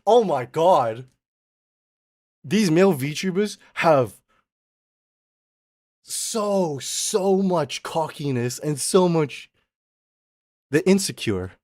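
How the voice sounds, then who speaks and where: A young man streaming as a VTuber, ranting in a casual, animated way. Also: a little high, no room sound, clean studio sound. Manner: animated, exaggerated, quick mock voices and laughs.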